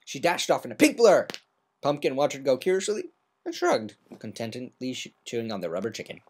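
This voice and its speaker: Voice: mid-range voice, huge pitch swings, a little fast, darkish tone, breathy edge. An amateur narrator giving a semi-dramatic reading of a scripted story, occasionally stumbling over words.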